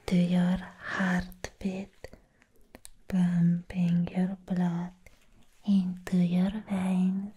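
A woman acts out a sweet young female vampire with a teasing, hungry streak, in an ASMR roleplay. She speaks in a very breathy, soft whisper. Her phrases are drawn out very slowly and stay in a narrow, mellow range of pitch. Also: heavily acted. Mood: gentle, teasing. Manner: slow, lingering, teasing phrases.

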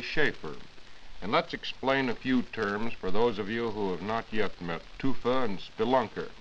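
Formal man on muffled, hissy old radio. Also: medium pitch. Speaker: science reporter. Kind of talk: scripted 1940s radio science talk.

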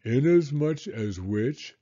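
A man voicing a mournful, resigned old donkey from a storybook, in a doleful, drawn-out manner. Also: mid-range voice, animated, warm tone, dull audio. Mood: gloomy, resigned.

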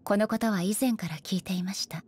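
An actress playing a stern, dignified female ruler in an anime game, speaking formally and with measured authority. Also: mid-range voice, breathy edge, natural voice. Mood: stern, commanding. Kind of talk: Japanese anime game voice acting.

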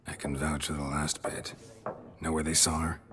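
An actor playing a gruff, stoic middle-aged monster hunter in a video game, with gravelly, terse, deadpan delivery. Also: male voice, normal speed. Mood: terse, inquiring. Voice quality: gravelly, low. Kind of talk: video game cutscene acting.

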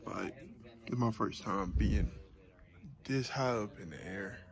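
A man's voice: lowish voice, takes it slowly. A man in his twenties chatting casually for a hiking vlog into a handheld phone outdoors, slangy, joking and swearing. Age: 20s. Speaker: vlogger. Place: mostly outdoors on mountain trails. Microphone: phone at arm's length.

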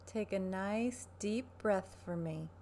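Soft-spoken creaky ASMR woman. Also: medium pitch, takes it slowly. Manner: quiet, gentle, soothing. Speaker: ASMR roleplayer playing a barber. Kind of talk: soft-spoken ASMR personal-attention roleplay. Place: small furnished room at home, indoors. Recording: noisy webcam-style home recording.

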